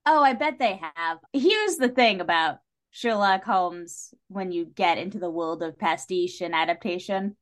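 A woman talking casually as a podcast guest, opinionated and effusive, with emphatic delivery. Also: mid-range voice.

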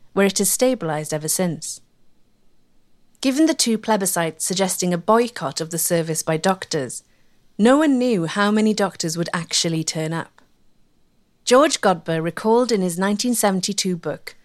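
A woman giving measured, scripted documentary narration. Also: medium pitch. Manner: clear, measured storytelling.

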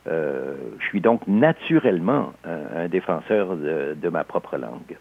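An older man speaks with a Québécois accent, his low voice husky. He answers slowly and reflectively, pausing often as he searches for words. The sound is thin and phone-like. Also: very animated. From Alsace, France. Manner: measured, halting with frequent hesitations. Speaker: interview guest, novelist. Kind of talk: reflective interview talk.

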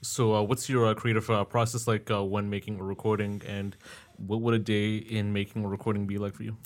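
Low, flat-voiced rambling young host. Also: male voice, fairly quick. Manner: rambling, filler-heavy, laid-back. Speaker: podcast host. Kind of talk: casual interview talk.

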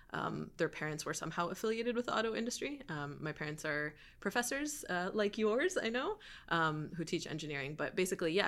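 A young woman from Michigan, a podcast guest talking casually with lots of fillers. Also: low-pitched. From Michigan, USA. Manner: relaxed, frequent fillers and laughs. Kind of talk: casual interview chat.

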